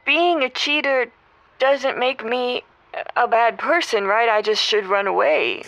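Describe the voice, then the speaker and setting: A woman's voice: on the high side, a bit bright, no echo, highs cut off. A woman playing a sheepish, suggestible cafeteria lady calling an advice show, speaking hesitantly and meekly in an improvised comic audio drama. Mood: grateful, hopeful. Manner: hesitant, meek, drawn-out.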